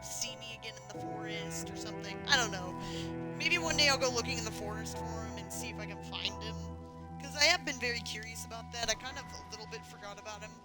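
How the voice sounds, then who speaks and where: A woman's voice: on the high side, fast, poor audio. A woman streamer casually recapping a game's story in a rambling, hesitant way. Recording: loud music behind the voice.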